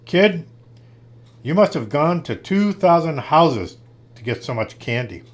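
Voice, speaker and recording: A man's voice: a little high, sing-song, a little slow. A man voicing a blunt, scolding but obliging policeman in a storybook, in an exclamatory manner. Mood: grudging, amazed.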